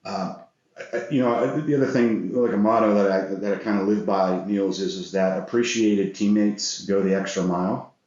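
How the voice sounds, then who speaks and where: A middle-aged American man answering interview questions as a guest, earnest and measured in the way he talks. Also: on the low side, darkish tone. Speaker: interview guest, business executive. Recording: very echoey room, slightly dull sound.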